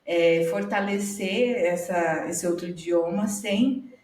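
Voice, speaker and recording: A woman's voice: on the low side, takes it slowly, a little mellow. Thoughtful Brazilian woman, roomy distant sound. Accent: Brazilian Portuguese. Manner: thoughtful, many hesitations and tag questions. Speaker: psychologist guest. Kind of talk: conversational video call discussion.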